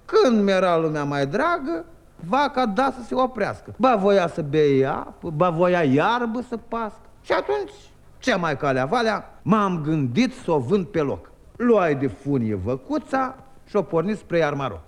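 Merry wandering trickster, rhymes his jokes; high and bouncy delivery, 1950s radio drama. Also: sing-song. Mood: storytelling. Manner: jokes in quick rhyming verse. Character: merry wandering male trickster, rhyming jester. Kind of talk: 1950s radio play acting.

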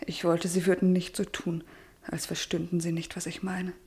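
An actress playing a shy, lovesick young woman in a German radio drama, soft and tenderly reproachful. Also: fairly low pitch, level tone, moderate pace, close to an everyday voice. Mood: yearning, reproachful.

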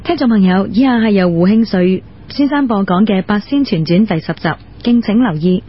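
A woman's voice: medium pitch, moderate pace, breathy edge. A woman with a Cantonese accent giving a formal radio programme announcement. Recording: muffled lo-fi radio recording.

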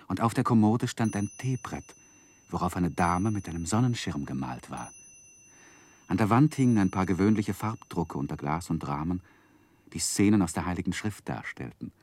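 A man narrating a 1960s radio play as a literary storyteller, measured and expressive. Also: low voice.

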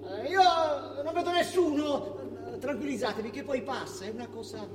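An actor playing a deferential, hesitant courtier who placates a prince, in theatrical stage style. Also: male voice, extremely high pitch, lively intonation, moderate pace. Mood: hesitant, placating. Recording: far off in echoey hall, noisy.

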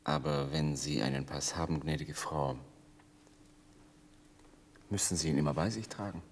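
An actor playing a commanding male officer in a German radio drama, measured and formally polite with quiet menace. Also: a bass voice, expressive, vocal fry, a little hiss or hum, exaggerated voice. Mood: coolly courteous. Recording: long hall reverb.

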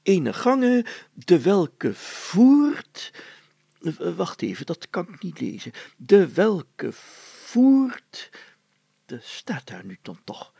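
A man voicing a self-important village mayor in a story, halting and hemming, given to solemn declaiming. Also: high-pitched, huge pitch swings, gravelly, dark tone, husky, no room sound, voice pushed up, exaggerated voice. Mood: halting, puzzled. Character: pompous village mayor reading old documents aloud, self-important, deliberate.

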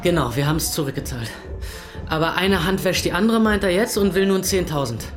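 A man's voice: high voice, expressive, fairly quick. An actor playing a harried club owner in his twenties, hesitant and evasive, in naturalistic audio drama dialogue. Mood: anxious, urgent. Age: 20s. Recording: club music behind.

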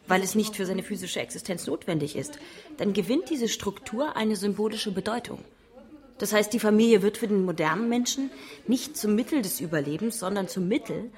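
A woman's voice: medium pitch, a little mellow. A woman giving spoken commentary in a radio feature.

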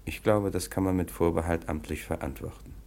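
A man's voice: deep voice, fairly even intonation, brisk, vocal fry, a little mellow. An actor playing a stern male police commissioner interrogating a strange foundling in a radio play. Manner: brusque, commanding interrogator. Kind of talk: 1950s-style radio play acting.

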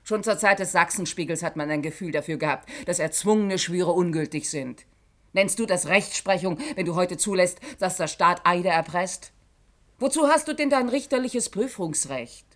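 An actress playing an outspoken senior female prosecutor in a German radio play, arguing forcefully and with biting scorn. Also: on the low side, normal speed, close to an everyday voice. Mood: reproachful, heated. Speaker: actor.

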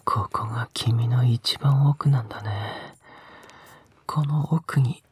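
Casting: woman as doting young male lover for intimate audio drama, low and very breathy, coaxing murmur. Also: lively intonation, moderate pace, full character voice. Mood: hushed, intimate. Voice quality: breathy, often whispering. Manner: soft, coaxing, affectionate murmur.

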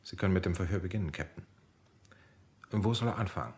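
A low-pitched male army doctor, played by an actor in a radio play. He sounds clinical and careful, laying out technical matters in measured phrases with small hesitant pauses. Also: darkish tone, close to an everyday voice. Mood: inquiring, detached. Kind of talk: radio drama dialogue.

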